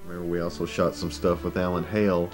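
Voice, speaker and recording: A deep-voiced man reminisces casually in an interview, pausing and hesitating as he goes. Music is clearly audible behind him. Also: level tone, darkish tone. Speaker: interviewee, former amateur filmmaker. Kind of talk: casual recollection.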